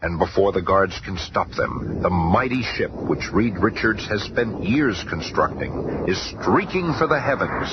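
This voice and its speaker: An actor narrating a radio drama serial as a grandiose, theatrical storyteller with dramatic showmanship. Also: male voice, low-pitched, sing-song, unhurried, hardly any pauses, background noise, heavily acted. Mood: grand, suspenseful. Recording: muffled old radio recording. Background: loud music.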